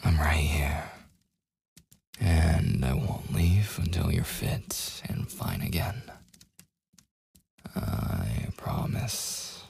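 Man voicing a brooding male werewolf, gravelly, heavily breathy, near-whispered, protective, grudgingly tender, commanding, intimate ASMR roleplay. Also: very deep voice, lively intonation, a little mellow, exaggerated voice. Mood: protective, soothing. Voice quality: very husky, breathy, gravelly, often dropping to a whisper. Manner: slow, grudging, possessive, commanding. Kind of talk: boyfriend ASMR roleplay, intimate scripted acting.

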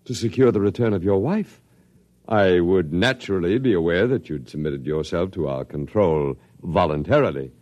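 A low-voiced, courteous scientist with sweeping, theatrical intonation. He is measured and condescending, utterly devoted to his grand project, in an old radio drama with a dry, close sound. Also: lively intonation, dry recording, dull audio. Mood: unruffled, courteous. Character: smooth, courteous, zealous male scientist running an eternal archive. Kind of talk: classic radio drama acting.

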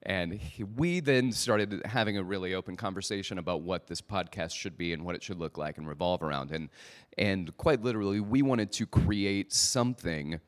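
A man's voice: sits low, moderate pace. A man hosting a podcast live at a conference, speaking informally and jokingly to the people in front of him. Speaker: podcast host. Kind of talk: live presenting to an audience. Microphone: microphone.

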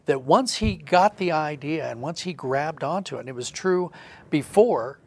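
A male podcast guest explains things in a conversational, reflective way. His voice is fairly high for a man, with plenty of gravelly creak. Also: animated, normal speed, darkish tone. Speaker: podcast guest, writer and creative director.

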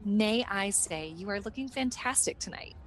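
A woman's voice: mid-range voice, moderate pace, natural voice. A woman playing a chirpy, eager young red carpet reporter in a comedic radio sketch, polite and gushing.

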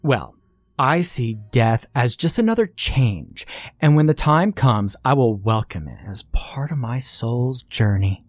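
A man voicing a calm, serene hippie woman who speaks dreamily. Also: medium pitch, a little slow, no room sound, clean studio sound.

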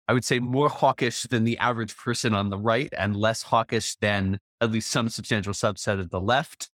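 A man's voice: mid-range voice, dry recording. Chatty man co-hosting a podcast. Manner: animated, stammering restarts, laughs while telling anecdotes. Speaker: podcast co-host. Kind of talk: casual podcast chat.

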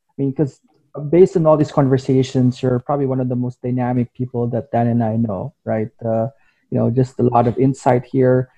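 A man's voice: mid-range voice, mellow. A man co-hosting a podcast who asks rambling interview questions full of "you know". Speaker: podcast co-host. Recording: muffled lo-fi audio.

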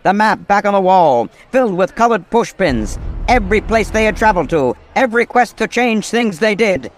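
An actor playing a grandiose, melodramatic narrator of an old-time pulp hero radio serial. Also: male voice, sits high, very animated, normal speed, a little hiss or hum. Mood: ominous. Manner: dramatic, grandiose.

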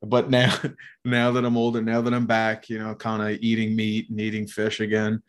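A man with a nasal voice co-hosts a podcast in a loose, rambling way, swearing and laughing as he goes. His voice sits slightly low in pitch. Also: completely flat delivery, moderate pace. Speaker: podcast co-host. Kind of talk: casual podcast chat.

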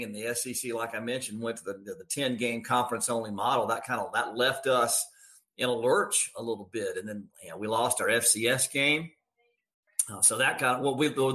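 An American man with a bright, forward voice speaks as a sports announcer in a conversational interview. His pitch sits in the middle range, and he talks in folksy, emphatic phrases. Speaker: radio play-by-play sports announcer.

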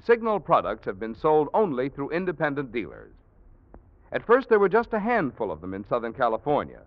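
A dry, slightly muffled old radio broadcast of a male announcer. He reads scripted sponsor copy in forties style, with very animated pitch movement. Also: no room sound. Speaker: announcer reading sponsor message.

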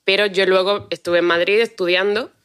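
A woman's voice: medium pitch, steady tone, brisk, fairly bright tone, clear, resonant tone. Wry young woman co-hosting. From Spain. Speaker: podcast co-host. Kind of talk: casual podcast chat.

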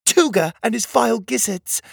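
A man voicing a small, feisty mechanical cog girl in a storybook reading, shrill and impatient. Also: animated, normal speed, creaky, no room sound, studio quality, exaggerated voice. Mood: urgent. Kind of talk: storybook character voice.

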